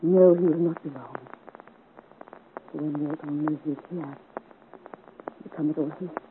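A woman's voice: low-pitched, fairly even intonation, unhurried. A woman acting a secretive, troubled young Parisian woman, earnest and dramatic, in 1950s radio serial style. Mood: brooding. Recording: narrow-band, hissy 1950s radio recording.

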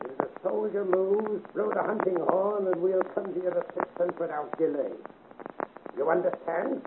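A man's voice: sits high, normal speed, lightly acted. A man acting a cool, scornful, murderous innkeeper in a theatrical 1950s radio serial style. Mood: scornful, menacing. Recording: noisy narrow-band 1950s radio recording.

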